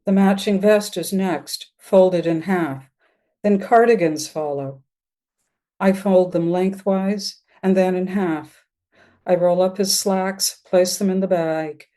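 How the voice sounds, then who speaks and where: An older woman reads a literary piece aloud with measured, plain narration. Her voice is very mellow and a little low, and she takes her time over the phrases at a slow pace. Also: steady tone. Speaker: writer reading own work. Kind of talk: literary prose-poem reading.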